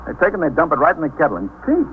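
A brisk desk lieutenant talks colloquially with an American accent. His pitch swings in a lively way and he moves along quickly. It is fifties radio police drama, narrow and boxy in sound. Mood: chatty. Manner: colloquial, animated. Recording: muffled, noisy 1950s radio recording.

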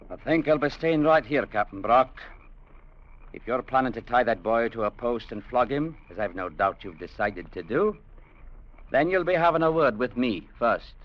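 An actor playing a stern, principled adventurer who narrates an old radio drama in a measured, deliberate way. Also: male voice, medium pitch, lively intonation, close to an everyday voice. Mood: defiant. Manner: measured, deliberate, grimly resolute. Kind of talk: 1940s-50s radio drama narration and acting. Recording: muffled old radio recording with music behind.

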